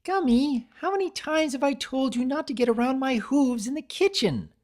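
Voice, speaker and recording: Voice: high-pitched, lively intonation, moderate pace, a little mellow, pitched above the performer's own voice. A narrator voicing a jolly, bubbly female cartoon pony baker in a singsong, cheerful manner. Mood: mildly scolding.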